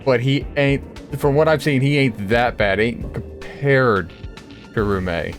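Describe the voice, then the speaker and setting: A man's voice: mid-range voice, takes it slowly. Blunt, sweary man commenting over game music. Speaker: gaming video creator. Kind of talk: casual gameplay commentary.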